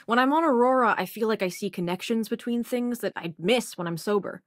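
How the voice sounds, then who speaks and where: A woman's voice: on the high side, brisk, no room sound, clean studio sound, lightly acted. An actor, a young woman, voicing a clever, wry and self-assured renegade scientist who crews a spaceship in a video game. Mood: wry, casual.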